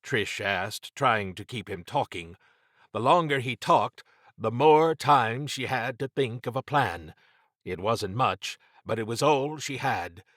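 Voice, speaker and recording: Guy reading a horror adventure audiobook, slow pace, mid pitch, really dry close sound. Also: fairly bright tone, dry recording. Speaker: audiobook narrator. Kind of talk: scripted horror-adventure narration.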